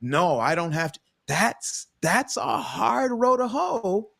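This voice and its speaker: A man who pastors a mostly Jamaican church, asking an animated, rambling question on a panel and often restarting to search for words. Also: sits high, slow pace, vocal fry. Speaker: pastor and co-host. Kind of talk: casual panel talk.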